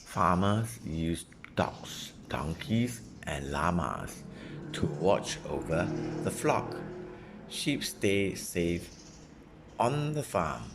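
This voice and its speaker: A man reading a children's nonfiction book aloud as a parent would, in a deliberate way suited to a young child. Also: low voice, big pitch swings, over music. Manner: deliberate, repeating and simplifying phrases for a child.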